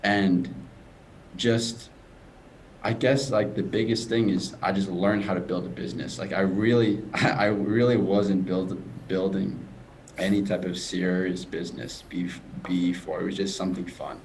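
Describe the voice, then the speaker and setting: A man's voice: low-pitched, little pitch movement, measured pace, a little mellow, slight background noise, poor audio. A young man who stutters, a coach talking casually and earnestly in a video call interview. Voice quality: stutters, repeats words.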